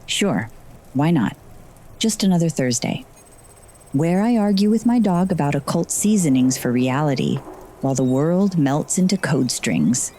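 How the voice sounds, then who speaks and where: A woman playing a low-voiced, sardonic heroine. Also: lively intonation, measured pace, dark tone, a little hiss or hum. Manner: wry, sarcastic asides. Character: sardonic, frazzled woman narrating her magical adventure. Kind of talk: first-person scripted audio drama narration.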